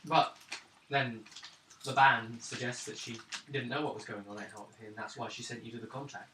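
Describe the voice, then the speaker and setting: A man's voice: medium pitch, steady tone, moderate pace. A man narrating a live tabletop role-playing game as game master, often hesitating and repeating words. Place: indoors around a gaming table. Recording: roomy, distant, noisy table recording.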